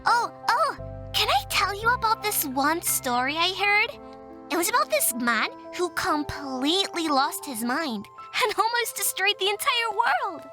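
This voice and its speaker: A woman playing an excitable, mischievous fifteen-year-old girl in an audio drama, bubbly and quick to pout. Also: extremely high pitch, over music, exaggerated voice. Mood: excited. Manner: bubbly, pouty, quick to bicker.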